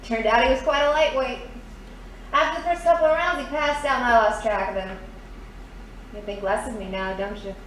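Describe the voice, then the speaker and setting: Woman acting brassy, high-voiced noir dame. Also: a little fast, fairly bright tone, close to an everyday voice. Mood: wry. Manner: wisecracking, slangy, tough-talking. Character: brassy, defiant, lovesick hard-drinking dame. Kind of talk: noir radio drama acting. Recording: roomy, distant, faint background noise.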